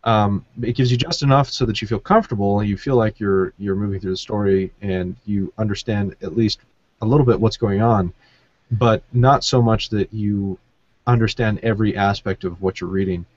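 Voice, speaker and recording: A man's voice: on the low side, fairly even intonation, normal speed, darkish tone, no echo, lo-fi sound. A man co-hosting a casual book discussion, thinking aloud in a hesitant way. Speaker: podcast co-host.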